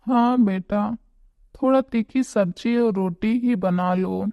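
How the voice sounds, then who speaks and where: A woman's voice: mid-range voice, slow pace, dry recording, dull audio. A woman voicing an ailing, grateful village mother in a Hindi cartoon story, drawing out her words. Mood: affectionate.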